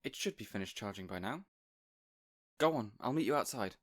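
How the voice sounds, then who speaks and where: A man's voice: lowish voice, animated, a little fast. A man playing an affable supervillain boss in an audio roleplay, chatty and awkwardly earnest, playfully bossy with an assistant. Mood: playfully insistent. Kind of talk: audio roleplay acting addressed to listener.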